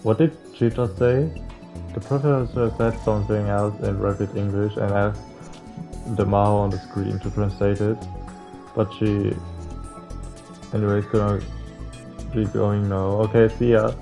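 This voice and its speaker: A young man reading a visual novel aloud in a halting, casual way, like a gaming streamer. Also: on the low side, flat delivery, vocal fry. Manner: halting, stumbling reading with casual slangy interjections. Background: faint game music.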